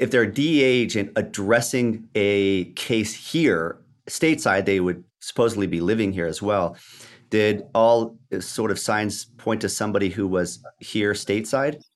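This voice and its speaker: A man hosting an interview in a conversational style, asking questions and commenting. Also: on the low side. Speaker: interviewer, host.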